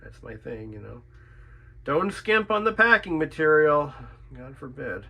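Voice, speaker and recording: A man's voice: a little high, very animated, measured pace, creaky, pushed and bright. A man chatting casually and thinking aloud as he works through a repair, often asking himself questions. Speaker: radio repairer presenting own video. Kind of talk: casual chat while doing a repair.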